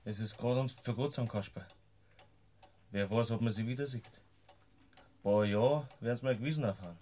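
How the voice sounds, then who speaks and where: A guilt-ridden young villager, voiced by an actor talks at an ordinary pitch. His words keep flaring up in anguish. It is a classic radio play. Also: normal speed, dark tone, close to an everyday voice. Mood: mournful. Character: young Bavarian villager Flori, guilt-ridden, hot-tempered.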